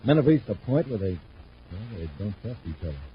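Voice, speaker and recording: Worried low-voiced construction boss. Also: male voice, sing-song, brisk. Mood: troubled. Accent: American. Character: worried, plain-spoken male construction superintendent. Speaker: radio drama actor. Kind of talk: 1950s radio adventure serial acting. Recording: muffled, faintly noisy vintage radio recording.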